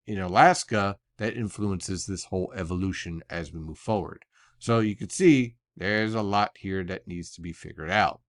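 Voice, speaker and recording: A man with an American accent and a fairly low voice works through a weather briefing. He sounds analytical and explanatory, with conversational asides, and the sound is dry and close with little room in it. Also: dry recording. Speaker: weather forecaster.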